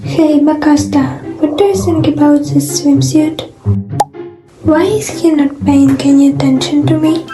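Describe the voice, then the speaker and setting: A woman's voice: high voice, steady tone, measured pace. A woman voicing a pouty, insistent young anime girl who demands attention in a comic dub. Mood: pouty, demanding. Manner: insistent, indignant. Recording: roomy echo, loud music behind.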